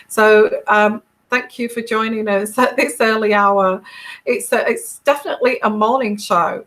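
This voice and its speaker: A woman's voice: mid-range voice, a bit bright. A middle-aged English woman chatting casually with viewers on a live tarot stream, rambling with many fillers and restarts and laughing often. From England.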